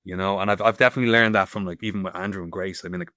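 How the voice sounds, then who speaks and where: A man's voice: low-pitched, steady tone, very fast, pushed and bright, no echo. An Irish man in his thirties, a guest stone carver who talks in a rambling way, searching for words. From Ireland. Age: 30s. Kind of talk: casual interview chat. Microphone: remote call.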